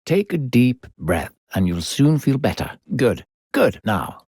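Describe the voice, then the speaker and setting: An actor playing an unseen male narrator in a video game, a falsely kind, manipulative guide with a gravelly, husky voice and a theatrical, condescending storyteller's manner. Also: medium pitch, big pitch swings, darkish tone, no room sound, studio quality, full character voice. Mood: playfully encouraging. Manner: theatrical, drawn-out, condescending storyteller addressing a child.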